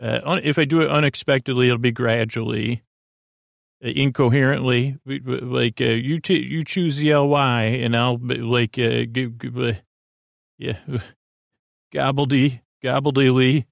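Creaky-voiced man rambling through tangents. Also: mid-range voice, steady tone, unhurried, no echo. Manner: meandering, full of tangents and fillers. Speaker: podcast host. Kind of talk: rambling bedtime podcast intro.

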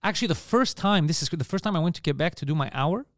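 A man with a Montreal Greek accent, chatting casually on a podcast as a comedian guest, animated and swearing freely. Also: a little high, fast, dry recording, studio quality. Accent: Montreal Greek (Park Extension). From Montreal, Canada.

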